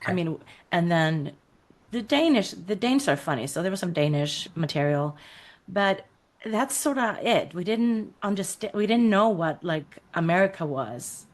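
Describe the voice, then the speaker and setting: A middle-aged woman from Iceland, a podcast guest telling her life story in a reflective, unhurried way with many fillers. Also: lowish voice, lively intonation. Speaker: podcast guest, creative coach. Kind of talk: casual interview conversation.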